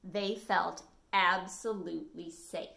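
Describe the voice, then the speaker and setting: An American woman in her forties reading a picture book expressively as a storytime host, phrasing clearly for children. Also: fairly low pitch, steady tone, slow pace, pushed and bright, a little hiss or hum. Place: small living room at home, indoors.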